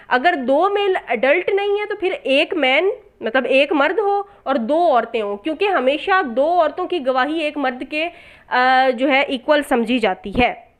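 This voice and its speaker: A woman with a Pakistani accent giving an explanatory law lecture, didactic and often checking that listeners follow. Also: high voice. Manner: didactic, repeatedly checking understanding. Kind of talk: explanatory exam lecture mixing in English legal terms.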